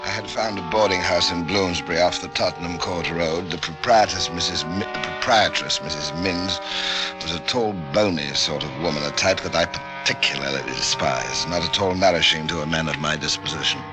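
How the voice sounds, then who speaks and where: An actor playing a fussy, unctuous middle-aged doctor with hidden menace, genteel and halting in the mannered style of old-time radio drama. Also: male voice, deep voice, natural voice. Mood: smug, confiding. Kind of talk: old-time radio drama acting, first-person narration and dialogue. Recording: vintage radio drama with music bed.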